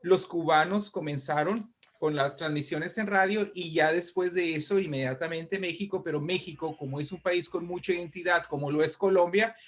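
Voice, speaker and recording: A Mexican man with a fairly high voice talks as a guest in an interview. He explains things at length and with emphasis, in a conversational way, often tagging phrases with little checks to the listener. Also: moderate pace, few pauses, a bit bright. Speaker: invited guest, writer and poet.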